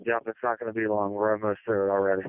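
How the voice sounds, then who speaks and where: A man's voice: lowish voice, little pitch movement, racing, smooth, no echo. A man working as a fire officer, giving conversational updates in emergency radio traffic over a two-way radio. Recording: tinny narrowband fire radio transmission.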